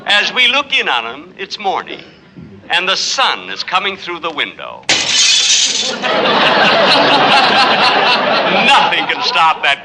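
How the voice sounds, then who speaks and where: An actor playing a scheming, sinister spirit who wrecks happy homes, in slow, theatrical 1940s radio comedy style. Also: male voice, on the high side, exaggerated voice. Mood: mock-pleasant narrating. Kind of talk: 1940s radio comedy acting, melodramatic narration. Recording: noisy old radio recording, live audience. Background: studio audience.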